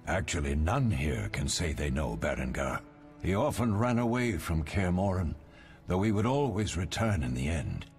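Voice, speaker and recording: An actor playing a wise, fatherly older mentor of monster hunters in a video game, measured and instructive. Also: low-pitched, sing-song, faint music behind. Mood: reflective.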